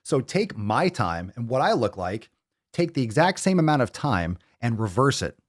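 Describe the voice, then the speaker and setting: A man's voice: mid-range voice, very animated, moderate pace, no echo, clean studio sound. A man in his thirties hosting a fitness podcast, lecturing bluntly with plenty of swearing. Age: 30s. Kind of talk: ranting livestream talk.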